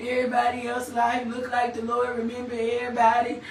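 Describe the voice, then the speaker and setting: A woman's voice: a little high, completely flat delivery, fairly quick, slight background noise, pitched below the performer's own voice, natural voice. Lady doing a whiny churchgoer grumbling, poor-me act in a sermon, voice dropped down, echoey. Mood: whining, self-pitying. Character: self-pitying, whining churchgoer feeling overlooked. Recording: echoey room, slightly distant mic.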